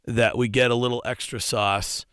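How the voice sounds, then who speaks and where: Nasal guy hosting a studio podcast, smooth clean sound, dry room, keeps restarting his sentences. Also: mid-range voice, fairly even intonation, a bit bright, no echo. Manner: halting, many repeated words and restarts. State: recovering voice after a week without one. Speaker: radio show host. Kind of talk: bantering podcast chat. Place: radio studio. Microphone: studio microphone.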